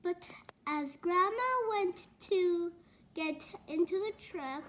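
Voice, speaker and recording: A woman reading a children's storybook aloud, sometimes halting and repeating words as she goes. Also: very high-pitched, slight background noise. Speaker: story reader.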